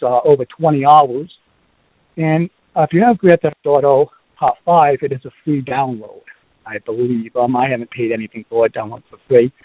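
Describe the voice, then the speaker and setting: A man's voice: medium pitch, no room sound. A man with a Boston accent hosting a podcast, chatting casually through reviews with lots of ums and uhs. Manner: rambling, many ums and uhs. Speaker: podcast host. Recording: dry, phone-like lo-fi sound.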